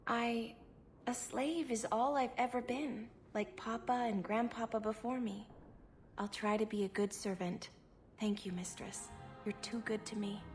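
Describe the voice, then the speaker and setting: Woman playing a meek, high-voiced elven servant girl. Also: fairly even intonation, measured pace, darkish tone, soft background music, natural voice. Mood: grateful. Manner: meek, deferential.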